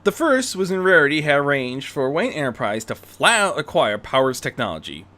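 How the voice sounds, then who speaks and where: Male story narrator for fan fiction, bright, forceful delivery with extremely lively melodic swings. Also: fairly high pitch, a little fast. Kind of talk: expressive scripted story narration.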